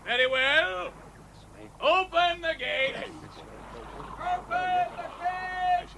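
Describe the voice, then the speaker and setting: A man's voice: extremely high pitch, very slow, creaky, heavily acted. A man playing an officious, suspicious guard captain who calls out challenges and orders in an old radio drama. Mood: commanding. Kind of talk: 1960s radio drama acting. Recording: vintage radio, distant voice, background noise.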